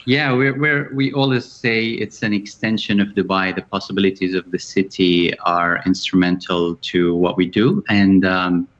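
Very low-voiced man on a muffled line. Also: steady tone, fairly bright tone. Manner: measured, many fillers. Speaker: cafe founder guest. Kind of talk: radio interview.

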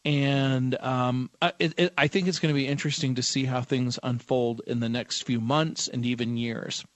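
A man's voice: medium pitch, steady tone, normal speed, no echo. A man hosting a radio talk show, speaking thoughtfully and quoting scripture. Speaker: radio host.

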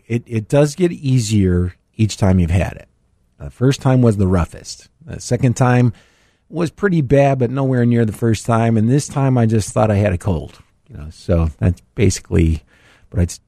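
A middle-aged man hosts a live radio show, his voice a little raspy and stuffy. He talks in a loose, rambling way, full of fillers and restarts, with a lowish, mellow tone. The studio sound is close and dry. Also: lively intonation, moderate pace, dry recording. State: raspy, a bit congested after illness. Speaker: radio show host, financial advisor. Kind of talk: casual live call-in radio talk.

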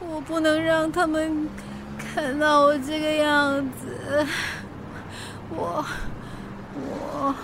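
A woman's voice: sits high, level tone, extremely slow, pauses often, noisy background, exaggerated voice. An actress in a radio drama playing a stubborn, troubled woman, with a Singaporean accent. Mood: drunken, distressed. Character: stubborn tipsy woman hiding her serious illness.

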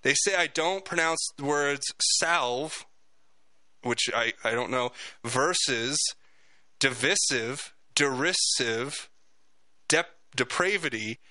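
A man's voice: medium pitch, slow pace, pushed and bright, no room sound. A man hosting a talk radio show, chatting casually with rambling, jokey asides.

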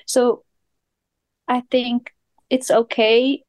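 A woman's voice: a little high, little pitch movement, drawn-out words, a little mellow, dry recording. A woman co-hosting a podcast in English, which is not her first language, speaking earnestly in simple phrasing. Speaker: podcast co-host. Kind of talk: casual podcast chat.

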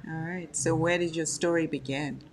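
A woman's voice: sits low, steady tone, normal speed, slight background noise. A woman co-hosting a faith interview show, asking short questions and giving brief affirmations.

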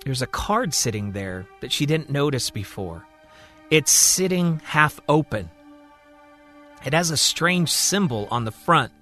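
A man's voice: on the high side, expressive, measured pace, pushed and bright. A man narrating a scripted story dramatically as a storyteller. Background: music.

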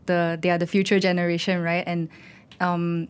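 A woman's voice: lowish voice, flat delivery, normal speed, clean tone. A woman, an animator and teacher, talking loosely in an interview with many "you know"s. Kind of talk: casual interview talk.

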